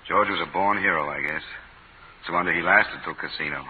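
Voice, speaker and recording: Laconic American ex-soldier played by a man, low-pitched, weary and understated, thin 1940s radio drama recording. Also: fairly quick, narrow, tinny audio, a little hiss or hum, natural voice. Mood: subdued. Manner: terse, understated. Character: weary, laconic war veteran, former army major.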